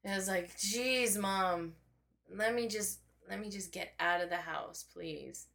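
A woman's voice: medium pitch, unhurried, gravelly, a bit bright. A woman, a painter and podcast guest, chatting casually and playfully, encouraging the host. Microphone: single shared podcast mic.

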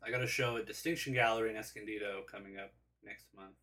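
A man from Southern California hosts a casual podcast, sharing one mic with his guest. His voice is very bright and sharp, candid and self-deprecating, with the odd swear word dropped in. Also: fairly low pitch, fairly even intonation, fast. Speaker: podcast host and painter. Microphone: single shared podcast mic.

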